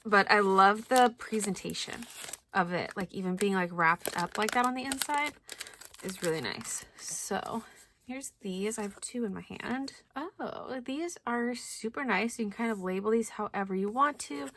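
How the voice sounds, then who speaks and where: A young woman chatting casually through a stationery unboxing, thinking aloud with lots of likes and ums, her voice a little hoarse. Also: mid-range voice, moderate pace, a bit bright, slight background noise. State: slightly hoarse. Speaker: planner vlogger.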